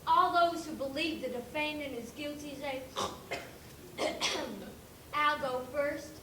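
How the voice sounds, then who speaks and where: A female performer playing an orderly pig jury leader in a stage play, speaking in a deliberate, procedural way. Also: high-pitched. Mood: businesslike. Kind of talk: amateur stage play acting. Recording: distant echoey stage recording, clear noise.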